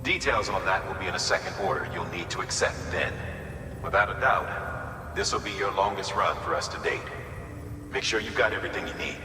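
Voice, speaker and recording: A man playing a stern, commanding official who gives formal, measured mission briefings in a game. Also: low voice, over music, close to an everyday voice. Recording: echoey hall, clear background noise.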